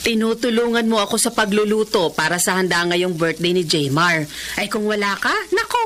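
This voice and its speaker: A woman's voice: mid-range voice, moderate pace, a bit bright, slight background noise, lightly acted. An actress playing a middle-aged mother, nagging yet affectionate, in a radio drama. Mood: grateful, chatty.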